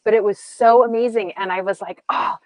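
A woman's voice: medium pitch, fairly even intonation, brisk, no echo, low-quality recording. A woman chatting casually about meditation, rambling and giggling as she tells a story.

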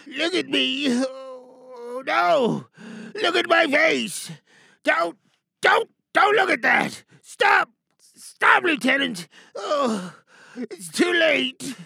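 Dying veteran soldier, extremely gravelly, slow and halting, urgent audio-drama delivery. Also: male voice, very high voice, expressive, bright, forceful tone, no room sound, clean studio sound, pitched above the performer's own voice, full character voice. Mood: panicked, pained. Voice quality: creaky, strained. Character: dying battle-hardened soldier, infected, urging on lieutenant.

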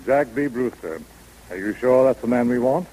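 A man's voice: mid-range voice, dark tone, slightly rough, lightly acted. A male actor playing a cautious, questioning senior law partner in a 1930s radio drama. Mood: cautious, curious. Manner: questioning, measured. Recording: noisy vintage 1930s radio broadcast.

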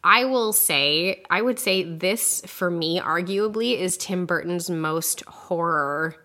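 A woman hosts a relaxed book chat in a croaky, nasal voice that sits a little low. She is chatty and emphatic and laughs often. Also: takes it slowly. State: croaky, recovering from illness. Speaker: podcast host. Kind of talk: casual chat.

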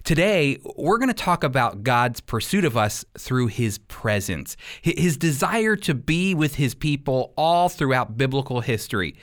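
A man's voice: medium pitch, big pitch swings, a little slow, hardly any pauses, a bit bright. An American man teaching the Bible as a radio host, speaking earnestly and emphatically in a conversational preaching style. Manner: earnest, emphatic, rhetorical questions.

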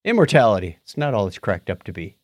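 A man's voice: on the low side, very animated, fast, no echo. A man co-hosting a casual podcast, talking in a jokey, teasing way. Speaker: podcast co-host. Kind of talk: casual podcast chat with patron thank-yous.

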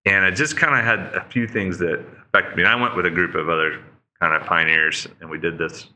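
Guest, 50s man from Oklahoma: low voice, crisp bright edge, lively anecdotes. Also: brisk. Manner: animated storyteller, jumps to high-pitched mock voices. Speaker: podcast guest, leadership speaker. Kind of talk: casual interview chat.

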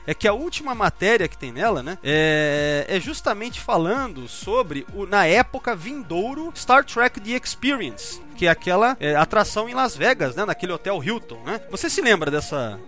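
A man's voice: high voice, sing-song, moderate pace, few pauses, sharp tone, faint music behind. A man co-hosting a podcast, chatting casually and rambling with many restarts and fillers. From Brazil. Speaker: podcast co-host.

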